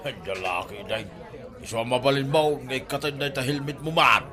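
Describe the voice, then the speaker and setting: A man's voice: mid-range voice, a little fast, a crowd in the background, close to an everyday voice. A man playing a teasing friend in a comedy drama. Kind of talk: Ilocano comedy drama acting.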